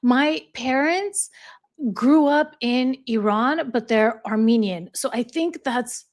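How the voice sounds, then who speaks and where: A woman's voice: a little high, takes it slowly. An American woman hosting a casual livestream, reading viewer comments aloud and laughing often as she chats. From United States. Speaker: livestream host.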